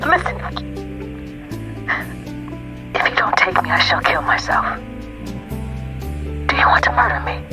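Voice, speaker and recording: An actress playing a desperate, pleading young woman on the run, with a breathy, hushed delivery in a radio drama. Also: mid-range voice, sing-song, moderate pace, natural voice. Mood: urgent pleading. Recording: noisy radio drama with music behind.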